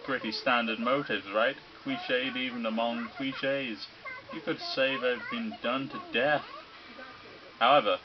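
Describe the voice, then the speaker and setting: A man voicing a gleeful, sinister male robot bear headmaster who lectures in a mocking, theatrical, drawn-out way. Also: lowish voice, muffled recording, lightly acted. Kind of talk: video game dub reading.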